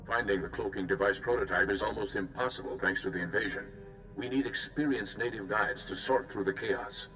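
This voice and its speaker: A calm Jedi mission leader in a video game. His voice is low, his phrasing formal and measured. Faint music plays behind him. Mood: measured, serious.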